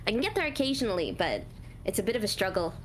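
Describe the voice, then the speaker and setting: High-voiced woman chatting easy in a q&a, lots of ums, faint rumble behind. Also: quick pace. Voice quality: naturally high voice. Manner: relaxed, hesitant, many ums, laughs at herself. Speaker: voice actor and artist, interview guest. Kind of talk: casual Q&A interview.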